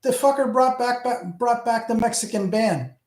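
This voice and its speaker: Middle-aged canadian guy, ontario accent, high-ish mellow voice, rambles and talks back to chat. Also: normal speed. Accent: Canadian, Southern Ontario. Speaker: channel host. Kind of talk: casual livestream chat. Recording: roomy echo.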